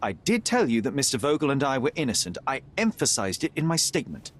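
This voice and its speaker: A man's voice: medium pitch, big pitch swings, quick pace, a little hiss or hum, lightly acted. An actor playing a young male detective, speaking in a precise, composed and formal manner. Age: young adult.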